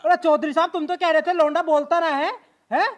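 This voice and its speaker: A man playing a proud, blunt father of the bride in a comic cartoon skit, with a rural western Uttar Pradesh accent and a pitched-up voice. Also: flat delivery, fairly quick. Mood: indignant.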